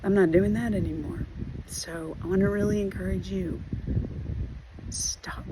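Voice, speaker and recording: A hoarse woman giving an earnest personal video update, talking haltingly with many fillers and restarts. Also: medium pitch, steady tone, noisy background. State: hoarse from losing her voice. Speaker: trauma recovery vlogger.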